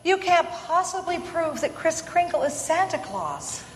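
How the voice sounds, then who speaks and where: A woman's voice: very high-pitched, big pitch swings, measured pace, full character voice. A woman acting a practical, skeptical sweetheart in an old-time radio play. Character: practical, skeptical woman, fond but exasperated. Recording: echoey hall, stage radio play.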